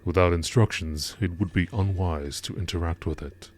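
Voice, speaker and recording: A man narrating scripted science-fiction lore entries in a measured, formal documentary style. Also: deep voice.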